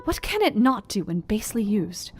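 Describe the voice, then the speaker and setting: A woman's voice: medium pitch, sing-song, normal speed, soft background music, close to an everyday voice. A woman playing a brave, devoted young Victorian wife, reading her journal in an earnest, formal manner for a radio play. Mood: grateful, admiring. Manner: earnest, heartfelt, formal old-fashioned phrasing. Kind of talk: dramatized diary narration in a radio play.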